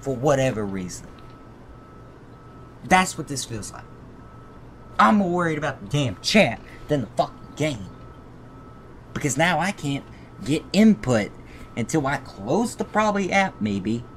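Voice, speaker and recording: A man's voice: a little high, measured pace, pauses often. A man livestreaming a game, reading its story text aloud and commenting in an irritated, heavily profane way. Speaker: video game streamer. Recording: steady background noise.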